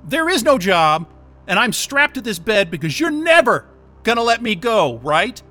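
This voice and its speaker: An actor playing an angry, sarcastic software developer held captive in an audio drama, agitated and defiant. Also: male voice, high-pitched, big pitch swings, moderate pace, soft background music, exaggerated voice. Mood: bitter sarcasm.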